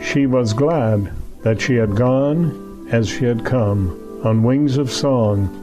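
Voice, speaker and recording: Slow, solemn male narrator over music. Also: mid-range voice. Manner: solemn, measured. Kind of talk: scripted storybook narration for audio drama.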